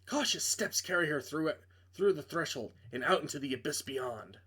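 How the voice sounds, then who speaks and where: Higher-pitched man reading aloud. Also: animated, normal speed, pushed and bright, husky. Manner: corrects own misreadings, joking asides. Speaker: streamer reading a visual novel aloud. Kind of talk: dramatic read-aloud with casual asides.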